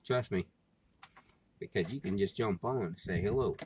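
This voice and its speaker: A man's voice: on the low side, moderate pace, a little mellow. An American man in casual, rambling vlog talk, plain-spoken and folksy, often repeating his phrases. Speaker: vlogger and CB radio hobbyist. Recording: clearly noisy background, amateur recording.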